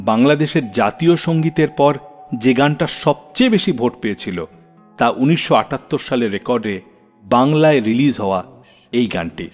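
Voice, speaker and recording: A man's voice: mid-range voice, a little mellow. A man hosting a music radio show, narrating scripted stories in a storytelling style. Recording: long reverb, music underneath. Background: faint background music.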